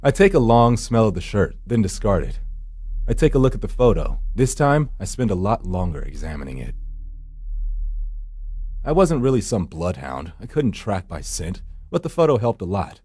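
A man's voice: lowish voice, big pitch swings, normal speed, a little mellow, husky, dry recording. A man voicing a wry, secretive supernatural finder who narrates a horror visual novel with dry, theatrical sarcasm. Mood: dry, sardonic. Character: wry, secretive male hired finder from the edge of death. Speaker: narrator and lead character. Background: background music.

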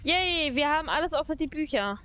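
A woman's voice: high voice, animated, brisk, a bit bright, slight background noise. A young woman streaming a game, chatting casually with viewers and laughing often. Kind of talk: casual let's play chat.

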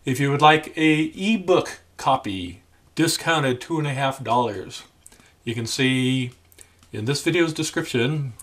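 An older man, around his sixties, talking casually to the camera in a deliberate, halting, dry manner. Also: medium pitch, a bit bright. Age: older, about 60s. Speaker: memoir author addressing viewers. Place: small room at home, indoors.

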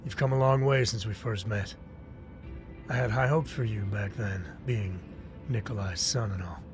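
An actor playing a gracious older mercenary patron in a video game cutscene, speaking in a measured, formal, articulate way. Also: male voice, lowish voice, music in the background, lightly acted. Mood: congratulatory.

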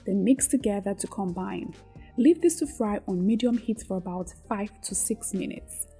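A woman's voice: mid-range voice, normal speed, mellow, soft background music. A woman hosting a cooking tutorial, addressing viewers directly in a scripted, presenter-like way. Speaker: cooking show host.

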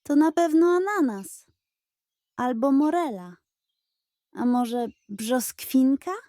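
Lady doing a greedy sweet-talking pug from a kids story, high voice, excitable and wheedly. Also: slow, no echo, clean studio sound. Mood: excited curiosity.

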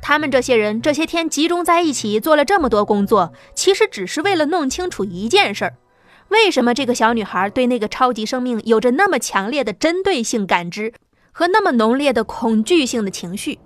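Lively woman narrating a sci-fi audiobook. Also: a little high, a little fast, no echo. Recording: soft background music.

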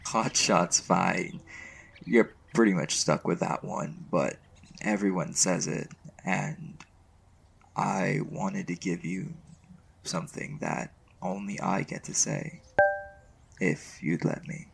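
Guy acting as a smitten college boyfriend, really gravelly, slow and halting, soft romantic asmr roleplay. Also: deep voice, steady tone, full character voice. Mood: shy affectionate. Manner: soft, halting, stammering when flustered.

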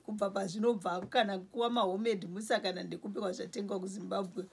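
A Zimbabwean woman in her forties runs a small grocery shop and talks about it in an easygoing way. Her voice is mid-pitched with a husky, slightly breathy edge. She sounds close to the camera in a small indoor store. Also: fairly even intonation. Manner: lists goods slowly while showing shelves. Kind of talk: casual interview in Shona.

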